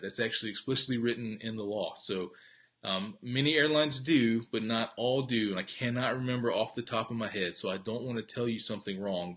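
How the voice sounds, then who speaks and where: Chatty man hosting a live stream. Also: mid-range voice. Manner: energetic, chatty, reads viewer questions. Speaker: host of a live travel stream. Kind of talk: casual live stream chat. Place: home, upstate South Carolina.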